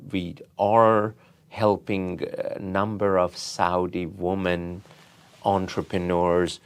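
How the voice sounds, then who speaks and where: A middle-aged or older man from Iran speaking as an interview guest, telling anecdotes in a halting, deliberate way. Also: lowish voice, darkish tone. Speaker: interview guest, tech company founder and CEO.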